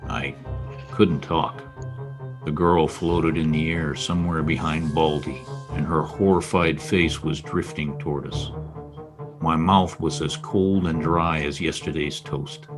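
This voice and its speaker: An actor playing a wisecracking, world-weary private detective in a noir radio drama, wry and sardonic. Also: male voice, very deep voice, fairly even intonation, a little slow, over music. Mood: grim narration. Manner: wry, sardonic, clipped tough talk. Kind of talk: old-time radio noir acting and first-person narration.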